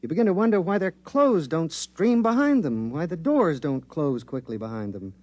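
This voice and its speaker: A man's voice: fairly high pitch, lively intonation, normal speed, darkish tone, dull audio, close to an everyday voice. An actor in a 1950s radio drama playing an earnest male linguist briefing reporters about contact with aliens. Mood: puzzled.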